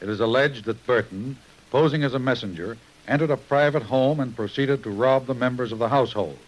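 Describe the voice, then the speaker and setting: A man's voice: medium pitch, fairly quick, rough recording. A man reading a scripted crime bulletin in a formal, emphatic 1950s radio manner on a vintage broadcast recording.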